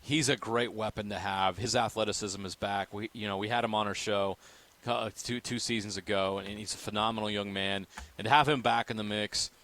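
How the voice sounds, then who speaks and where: A man's voice: lowish voice, fairly even intonation, a little fast, fairly bright tone. A man hosting sports radio, giving his analysis in conversation.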